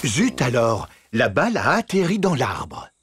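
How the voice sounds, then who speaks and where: A man acting as the kindly storybook narrator of a preschool cartoon, telling things simply and clearly. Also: mid-range voice, sing-song, measured pace. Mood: kindly, explaining. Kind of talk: scripted children's narration. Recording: loud music behind the voice.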